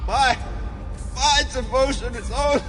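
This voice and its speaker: A man playing a maimed, devout cultist in an audio drama, pleading weakly in halting, pained gasps. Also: very high-pitched, fairly bright tone, soft background music, pitched above the performer's own voice. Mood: desperate pleading. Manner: halting, gasping, desperate. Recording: long cavernous reverb.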